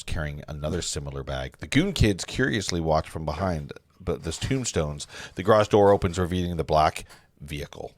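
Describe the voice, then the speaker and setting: Deep-voiced man narrating stage directions. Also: no room sound. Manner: stumbling read, correcting himself. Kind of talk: amateur table read of a film script.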